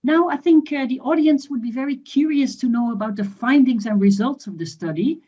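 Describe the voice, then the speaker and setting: A woman's voice: sits high, brisk, mellow. A woman moderating a webinar in a polite, orderly hosting style. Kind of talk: polite hosting, handing over to speakers. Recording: slightly dull, lo-fi online audio.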